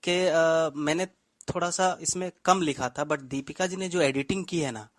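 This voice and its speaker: Man, co-host, lightly high pitch, casual talk, effusive, poetic, courteous, dry close recording. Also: fairly even intonation, normal speed, dry recording. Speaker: story writer and co-host. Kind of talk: casual, appreciative show conversation.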